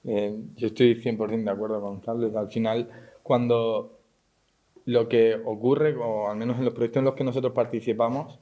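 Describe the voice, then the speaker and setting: A man's voice: medium pitch, little pitch movement, normal speed, dark tone. A man from explaining things off the cuff as a panelist, often adding tag questions. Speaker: panelist, tech company professional. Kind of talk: unscripted panel discussion.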